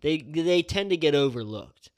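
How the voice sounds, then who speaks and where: A man's voice: fairly high pitch, lively intonation, moderate pace. An American man co-hosting a podcast, riffing on absurd ideas in slangy, casual banter with friends. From Delaware, United States. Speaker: podcast co-host.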